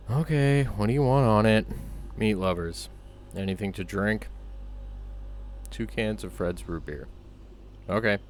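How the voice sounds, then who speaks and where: A man playing an easygoing deep-voiced pizzeria worker. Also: darkish tone, slight background noise. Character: easygoing male pizzeria employee taking a phone order. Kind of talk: comedy audio drama.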